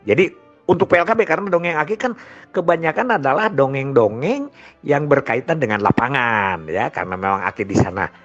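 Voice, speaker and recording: A man's voice: medium pitch, animated, brisk. Older Indonesian man reminiscing, dull lo-fi recording. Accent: Sundanese-region Indonesian. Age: older, grandfather. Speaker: storyteller. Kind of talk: informal reminiscing monologue. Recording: dull lo-fi sound with music behind. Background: music.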